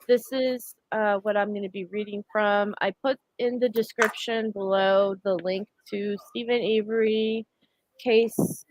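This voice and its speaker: A woman's voice: mid-range voice, steady tone, a little slow, low-quality recording. A woman hosting a casual livestream discussion, congested from a cold, chatty and full of fillers and self-corrections. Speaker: livestream host.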